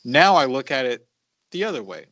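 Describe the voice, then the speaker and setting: A man in his thirties with a mid-pitched voice, recorded dry and close. He co-hosts a podcast, speaking in a halting, confessional style and circling back on phrases for emphasis. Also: very animated, fairly bright tone, no room sound. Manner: halting, deliberate delivery with emphatic repetition. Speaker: podcast co-host. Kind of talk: reflective, confessional conversation.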